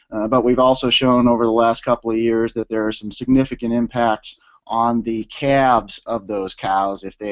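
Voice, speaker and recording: A university professor delivers a webinar talk in a measured, explanatory way, filling pauses with "uh". His voice is slightly scratchy from a cold. The sound is dull and lo-fi, like an online stream. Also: medium pitch. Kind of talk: lecture presentation. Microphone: remote presentation line.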